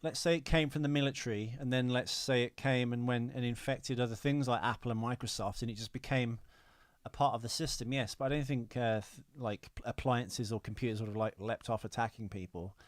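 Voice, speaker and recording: A man's voice: medium pitch, steady tone, brisk, no room sound. A British man co-hosting a podcast in casual film-review chat, rambling, opinionated and prone to swearing. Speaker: podcast co-host.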